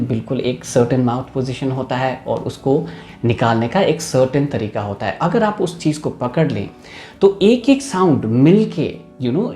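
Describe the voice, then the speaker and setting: A man's voice: medium pitch, mellow, reverberant. A man with an Indian accent, an English teacher giving a casual video lesson and mixing English into his talk. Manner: chatty, mixes English into the talk.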